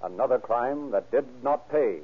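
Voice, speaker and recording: A man's voice: medium pitch, expressive, moderate pace, very noisy. A man narrating and announcing in a formal, declamatory, scripted 1930s radio style. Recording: muffled, lo-fi old radio recording.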